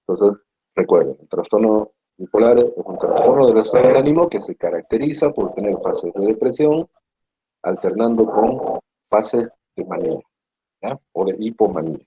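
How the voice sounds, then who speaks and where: Low-voiced Peruvian lecturer, muffled. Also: male voice, expressive, moderate pace, warm tone, breathy edge. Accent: Peruvian Spanish. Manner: explanatory, frequent tag questions. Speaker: psychiatry lecturer. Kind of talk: university lecture. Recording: muffled lo-fi classroom recording.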